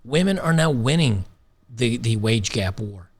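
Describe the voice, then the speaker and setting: A man's voice: medium pitch, animated, a little slow. A man hosting an opinionated commentary show, emphatic and sarcastic, repeating phrases for effect, his voice a little worn today. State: slightly losing his voice. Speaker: commentary channel host.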